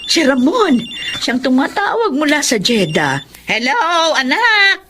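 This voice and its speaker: Animated older Filipino mother. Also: high voice, unhurried, noisy background. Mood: delighted. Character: doting, chatty older mother of grown son. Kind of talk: Filipino radio drama acting.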